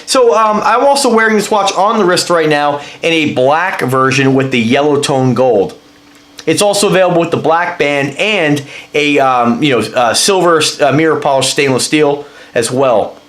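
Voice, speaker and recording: A high-voiced man sounds stuffed up from a cold as he chats through a casual review, rambling and eager while he explains what he's holding. His voice bounces around a noticeably echoey room. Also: normal speed, a bit bright. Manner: rambling, enthusiastic, explains as he handles the item. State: congested with a cold. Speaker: watch reviewer.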